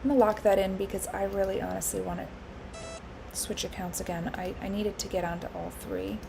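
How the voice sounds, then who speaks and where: A woman live-streaming her futures trading, congested and hoarse from allergies, thinking aloud with lots of fillers and restarts. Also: mid-range voice, lively intonation, fairly quick, noisy background. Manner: musing, with frequent fillers and restarts.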